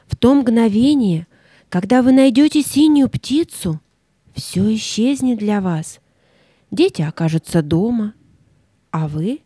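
Woman as a mellow commanding old fairy. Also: medium pitch, dry recording, studio quality. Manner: instructive, measured commands. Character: commanding old fairy grandmother, stern but caring. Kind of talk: audio play for children.

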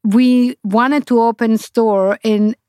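A middle-aged woman from Honduras with a Honduran accent and native Spanish, speaking haltingly as an interview guest telling her own story. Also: fairly high pitch, steady tone, dark tone, smooth, no echo, clean studio sound. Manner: halting, searching for words. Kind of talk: conversational personal storytelling.

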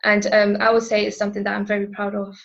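A teenage girl gives an earnest, careful testimonial. Her voice is flat in pitch with a gravelly fry. The recording sounds slightly dull and lo-fi. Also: fast talker. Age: teens. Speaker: school pupil.

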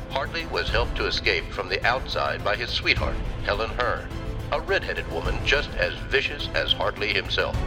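An actor playing a grave radio newscaster reading an urgent, formal police bulletin in an old-time drama. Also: male voice, on the low side.

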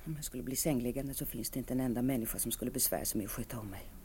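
An actress playing a bitter, lonely woman of forty-three in an intense radio monologue, swinging between hushed dread and outbursts. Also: extremely low pitch. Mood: disgusted self-pity. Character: bitter, lonely 43-year-old woman, self-pitying and raging. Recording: archive radio recording with background noise.

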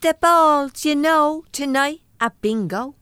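A woman's voice: high-pitched, slow, a bit bright, no room sound. An actress playing a cheeky, blunt working-class cleaning woman with an Irish accent, chatting in an audio drama. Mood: proud, playful.

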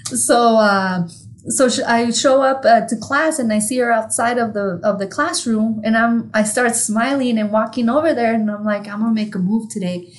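A woman's voice: a little high, normal speed. A woman from Mexico with a Mexican accent, co-hosting a podcast in casual chat, telling stories candidly and swearing freely. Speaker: podcast co-host.

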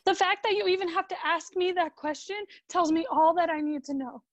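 A woman's voice: extremely high pitch, brisk, highs cut off. A woman playing a hurt, resentful wife in her thirties in a radio drama, with a clipped, restrained delivery. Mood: upset, cold. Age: 30s.

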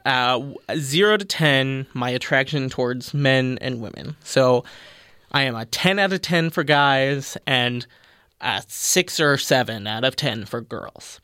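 A man's voice: mid-range voice, measured pace, clear, resonant tone, no echo, studio quality. A young man with a slight lisp, talking earnestly and expressively in a casual panel discussion. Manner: expressive, animated, explaining earnestly. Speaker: panel guest.

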